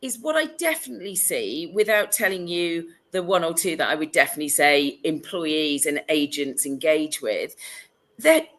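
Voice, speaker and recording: A woman with a cold, sniffling as she talks, answers questions as a consultant on a webinar panel over a video call. Her voice is very low. She speaks in an animated, chatty way and pokes fun at herself. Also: moderate pace. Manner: animated, chatty, self-deprecating.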